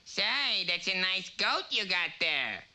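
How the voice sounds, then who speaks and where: Very bright, naive little boy. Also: lowish voice, sing-song, unhurried, heavily acted. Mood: innocent. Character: naive, timid, gluttonous little tinker boy. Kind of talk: comic cartoon character acting.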